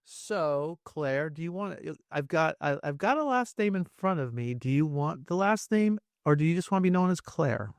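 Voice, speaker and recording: Gravelly-voiced male podcast host, chatty. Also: fairly high pitch, animated, normal speed, few pauses, no room sound, studio quality. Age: 60s. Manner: rambling, friendly, many fillers and restarts. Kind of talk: casual conversational interview.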